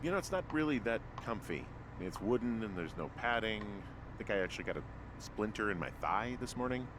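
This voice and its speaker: A man playing a laconic, sardonic fire lookout in a video game, deadpan and a little hesitant. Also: fairly low pitch, fairly quick, background noise, lightly acted. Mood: dry, joking. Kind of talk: naturalistic video game voice acting.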